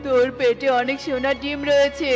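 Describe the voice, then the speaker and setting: Woman as a high-voiced scheming cartoon crow. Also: flat delivery, brisk, close to an everyday voice. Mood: scheming, gloating. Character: sly crow, friendly on top, greedy and scheming. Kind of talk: children's cartoon voice acting. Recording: music behind the voice.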